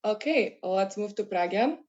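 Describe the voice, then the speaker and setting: A woman from Poland moderating an online panel, speaking haltingly and searching for words. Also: mid-range voice, expressive, darkish tone, lo-fi sound.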